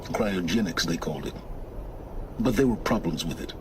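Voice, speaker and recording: A man playing an aloof, knowledgeable guide in a video game, speaking in a measured, formal and condescending way. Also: deep voice, animated, background noise. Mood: aloof, explanatory. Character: aloof, knowledgeable male guide, curt and scholarly. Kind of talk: video game dramatic dialogue.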